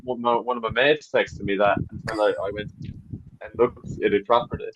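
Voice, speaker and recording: A man's voice: on the low side, fairly even intonation, brisk, muffled recording, background noise. A man co-hosting a podcast chat with dry jokes and mock grumbling. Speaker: podcast co-host. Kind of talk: casual chat over a video call.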